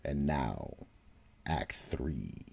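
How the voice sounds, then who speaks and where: Deep raspy guy narrating a spooky radio show, slow delivery, lively pitch. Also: faint music behind. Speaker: narrator and host. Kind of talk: scripted horror narration.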